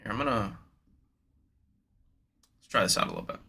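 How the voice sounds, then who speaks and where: A man's voice: fairly low pitch, a little fast, gravelly, bright, forceful tone, slightly rough. A young man hosting a live sports card break, chatting casually and hyped with slang, speaking straight to his viewers in a slightly nasal voice.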